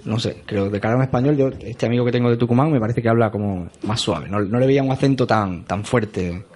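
Man from Spain hosting a relaxed chat. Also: mid-range voice, quick pace. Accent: Spanish from Spain. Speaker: podcast host. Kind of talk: casual conversation.

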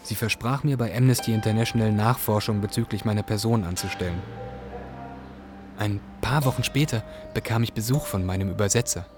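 A man's voice: on the low side, fairly quick, lightly acted. An actor playing a weary Iraqi asylum seeker, earnestly telling his story in a radio drama. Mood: hopeful recounting. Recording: radio production with music behind.

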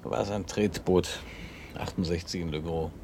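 Very low-voiced, ironic, nostalgic man. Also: steady tone, moderate pace, background noise. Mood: wistful musing. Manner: musing, ironic, provoking with questions. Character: needling, nostalgic man teasing his old friend on a boat trip. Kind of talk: German radio drama acting.

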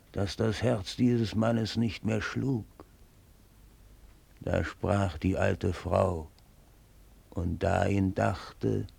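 A sly one-eyed servant in a radio play, reporting to his lord. The voice is low and heavily creaking, with a husky grain. Every sentence is laid out slowly and ceremoniously, like an old tale. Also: a little mellow, heavily acted. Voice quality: gravelly, husky. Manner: measured, ceremonious, archaic storytelling. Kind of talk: solemn German radio drama acting.

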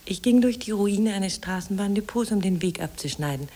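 An actress playing a yearning, wounded woman addressing her lover in a 1950s German radio drama, with hushed, intimate, poetic phrasing. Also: mid-range voice, lively intonation, moderate pace, close to an everyday voice. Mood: wistful. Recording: old radio play on cassette tape.

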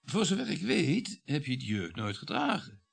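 An actor playing a sardonic, irritable middle-aged surgeon and husband in a radio play, with a needling manner. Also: male voice, a little high, wildly swooping pitch, moderate pace, vocal fry, husky, heavily acted. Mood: sardonic, reminiscing.

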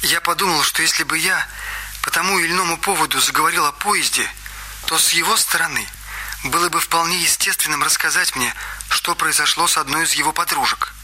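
A man's voice: a little high, brisk, slightly rough, heavily acted. An actor playing a young male student who earnestly explains how a murder was staged, in a radio drama. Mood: tense. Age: young adult. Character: young male student, nervous but sharp-minded. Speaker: witness explaining the crime. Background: loud music score.

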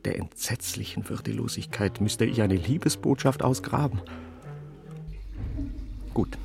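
A tormented, bitter editor murmurs a radio-play monologue in a deep, creaky voice. His phrases halt and hush, then turn suddenly emphatic. Music plays clearly behind him. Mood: anguished brooding. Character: brooding, bitter middle-aged male newspaper editor, tormented and curt. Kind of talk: literary German radio play, interior monologue.

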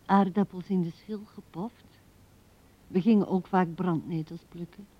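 An actress playing an old widow who muses tenderly and haltingly to her late husband in a radio drama, often dropping to a hush. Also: lowish voice, fairly even intonation. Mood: reminiscing. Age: older. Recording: dull 1970s radio recording.

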